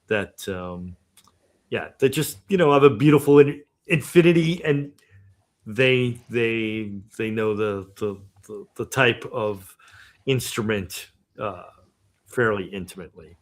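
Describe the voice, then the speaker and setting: A man hosting a watch party for his music TV show, talking casually with lots of fillers and restarts. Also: on the low side. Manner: halting, many fillers and restarts. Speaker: TV show host. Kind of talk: casual livestream talk.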